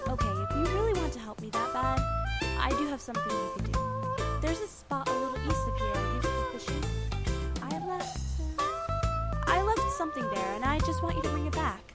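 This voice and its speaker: A woman's voice: sits high, wildly swooping pitch, a little fast. A woman playing a stubborn, sarcastic young girl who has been left on her own, in video game dialogue. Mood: grudging. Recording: loud music over the voice.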